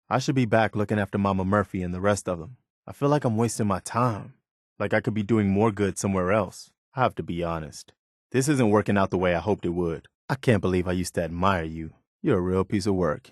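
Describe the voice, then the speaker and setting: An idealistic, principled militia man as a male actor plays him for a video game. His voice sits on the low side, with an earnest, plain-spoken delivery that stays conversational. The sound is very dry and close, with no room to it. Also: dry recording, close to an everyday voice. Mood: disappointed, stern. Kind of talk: video game companion dialogue, naturalistic acting.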